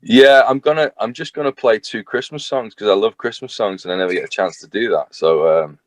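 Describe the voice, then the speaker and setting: Low-voiced Northern English man, rambling. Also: fairly quick, dry recording. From north of England. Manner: rambling, self-deprecating, chatty with many fillers. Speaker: singer-songwriter guest. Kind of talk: casual interview chat.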